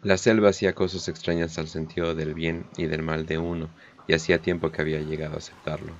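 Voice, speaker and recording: Deep, even-voiced man narrating. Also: fast talker, highs cut off, a little hiss or hum. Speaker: audiodrama narrator. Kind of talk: scripted narration of a war story.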